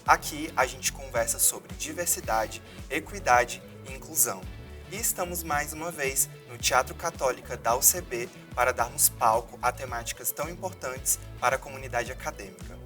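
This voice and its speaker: A man's voice: mid-range voice, normal speed, recorded from a distance, music in the background. A man hosting a university videocast from a theater, reading formal introductions. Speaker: host.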